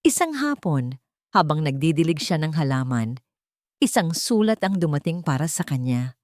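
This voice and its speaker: A Filipino woman reads a dramatic story in a lowish voice that rises and falls widely. Her phrasing is measured and sentimental, broken into short units. The recording is dry and close, with hardly any room sound. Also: sing-song, a little mellow, no echo. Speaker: storyteller, narrator. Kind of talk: scripted dramatic story narration.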